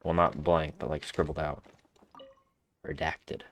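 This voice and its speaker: Low-voiced guy doing casual game commentary. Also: little pitch movement, unhurried, a bit bright. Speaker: gamer commenting on a playthrough.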